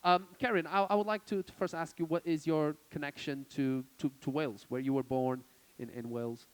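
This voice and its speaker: A man interviewing a comedy director at a festival, asking questions in a halting way and repeating words. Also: a little high, fairly even intonation, smooth. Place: on stage at a festival event.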